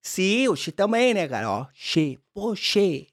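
A man's voice: high-pitched, sing-song, slow, exaggerated voice. A comedian voicing a boastful, cheerful man living in Brazil, speaking. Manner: boastful, laid-back, drops filler "cara" constantly.

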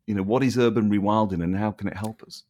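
Man, very deep voice, podcast host, rambling, hesitant. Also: fairly even intonation, fast talker, mellow, lo-fi sound. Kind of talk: podcast interview.

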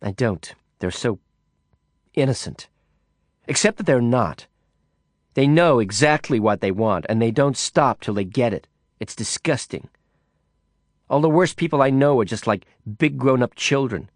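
A man's voice: mid-range voice, animated, measured pace, pauses often, no echo, studio quality, pitched above the performer's own voice, natural voice. A man voicing a brash, hard-drinking woman in an audiobook, blunt, profane and sneering. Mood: drunk chatter. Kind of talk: audiobook character voice.

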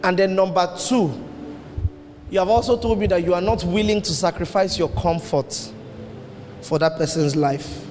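A man preaches with emphatic force, often leaping into high exclamations. His voice sits high for a man. The hall gives it a long echo over faint background noise. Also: moderate pace, a little mellow. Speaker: pastor. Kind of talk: sermon. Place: church hall.

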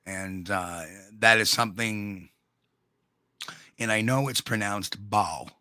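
A man with a New York accent co-hosting a casual live chat show, talking in a folksy, blunt way with drawn-out emphasis. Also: fairly low pitch, steady tone, sharp tone, dry recording, studio quality. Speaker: co-host.

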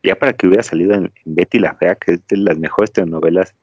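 Deep-voiced Mexican man, rambling podcast host. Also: fairly even intonation, quick pace, darkish tone, husky. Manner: rambling, storytelling, digressive. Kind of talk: casual chat. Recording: dry, slightly dull lo-fi audio.

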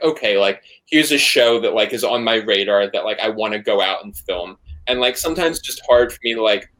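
A man's voice: medium pitch, a bit bright. Guest man, loose rambling talker, casual swearing, filler-heavy. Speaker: podcast guest, band member and photographer. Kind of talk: casual conversational interview.

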